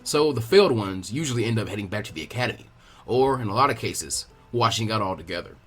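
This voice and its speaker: Man playing a low, gruff, lazy ninja instructor. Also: animated, a bit bright, faint music behind. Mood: weary, explaining. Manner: dry, unhurried, dismissive. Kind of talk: acted fan fiction reading.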